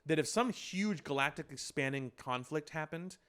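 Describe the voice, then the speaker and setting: A man's voice: fairly high pitch, expressive, normal speed, fairly bright tone, clean tone. A man in a casual podcast conversation, explaining his points in an emphatic way. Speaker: podcast host. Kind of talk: casual chat about pop culture.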